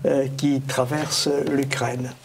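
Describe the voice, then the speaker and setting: A man's voice: medium pitch, flat delivery, slow pace, slightly rough. A man who is not a native French speaker, explaining history in a studio discussion, hesitating often with fillers and restarts. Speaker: guest historian.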